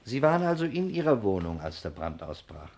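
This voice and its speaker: Deep-voiced, probing investigator. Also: male voice, big pitch swings, a little mellow. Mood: calm, businesslike. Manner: measured, terse, matter-of-fact. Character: calm, probing male investigator. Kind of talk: 1960s German radio crime drama acting.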